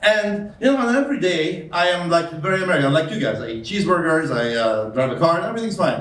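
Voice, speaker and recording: A man with a foreign accent performs stand-up in deadpan, halting bits, his voice a little high. The sound is roomy and distant, picked up from across a club. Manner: deadpan storytelling with hesitant fillers. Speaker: stand-up comedian. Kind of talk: stand-up comedy set. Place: comedy club stage. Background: audience laughter.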